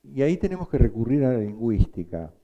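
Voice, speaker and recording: A man teaching a psychoanalysis class, explaining slowly and quizzing his students. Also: mid-range voice, sing-song, highs cut off. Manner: slow, explanatory, asks the class questions. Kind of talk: lecture.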